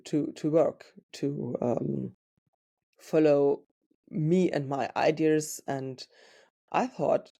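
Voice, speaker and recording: A woman with a German accent hosting her own podcast. She tells her story in a slow, reflective monologue and often searches for words. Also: deep voice, creaky, dark tone. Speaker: podcast host and dog trainer.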